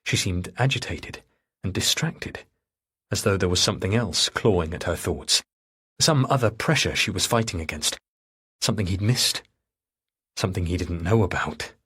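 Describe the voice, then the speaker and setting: A man's voice: low-pitched, lively intonation, normal speed, a bit bright, husky. A man reading dramatic fiction as a scripted audiobook narration.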